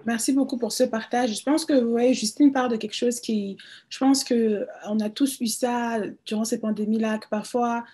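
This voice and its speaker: A woman is hosting a community radio forum. Her voice is mellow and a little husky. She speaks hesitantly, repeating words as she searches for what to say. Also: a little high, steady tone, moderate pace. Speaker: forum host. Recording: livestreamed community radio talk.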